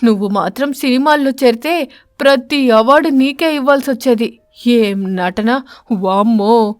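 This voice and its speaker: A woman voicing an exasperated, henpecked husband crow in an animated story, grumbling with sarcasm. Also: fairly high pitch, a little slow, no room sound, clean studio sound, natural voice. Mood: sarcastic.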